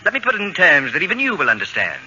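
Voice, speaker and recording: A man's voice: on the high side, wildly swooping pitch, racing, sharp tone, full character voice. An actor playing a pompous, self-important male babysitter and author in an old radio comedy, with precise, formal diction. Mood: lofty accusing. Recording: dull, noisy old radio broadcast.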